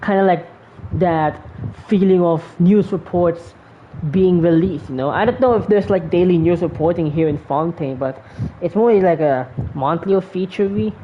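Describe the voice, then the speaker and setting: A woman's voice: lowish voice, moderate pace. A woman giving casual, musing commentary as a gaming streamer, in a rambling way. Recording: dull microphone with faint noise.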